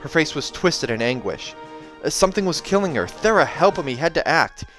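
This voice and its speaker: A man hosting a read-aloud of a novel, narrating in an expressive, dramatic style. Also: a little high, fairly quick. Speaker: host reading a novel aloud. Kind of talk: dramatic audiobook narration with casual asides. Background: soundtrack music.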